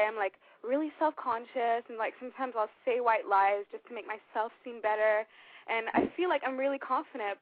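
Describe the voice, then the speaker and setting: A woman's voice: on the high side, dry recording. A woman of twenty-two from Switzerland calling in, rambling and giggly with many fillers. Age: 20s. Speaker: caller. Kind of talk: casual phone call. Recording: dry tinny phone line.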